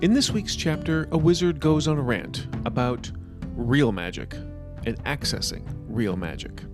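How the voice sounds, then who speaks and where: A man hosting a fiction podcast, reading his own scripted story with deliberate emphasis on key words. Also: medium pitch, music in the background.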